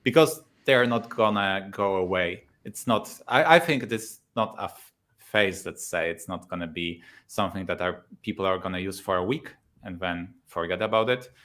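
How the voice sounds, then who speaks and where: Man, Polish accent, low pitch, relaxed and chatty, laughs a lot. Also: normal speed. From Poland. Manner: relaxed, laughs often, many fillers. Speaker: YouTuber guest. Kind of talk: casual interview talk.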